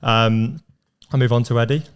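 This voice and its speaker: A man's voice: medium pitch, normal speed, clear, resonant tone. A man in his twenties co-hosting a casual podcast chat, leading the talk loosely with lots of filler words. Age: 20s. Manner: relaxed, leads the talk with many "kind of" fillers. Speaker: podcast co-host.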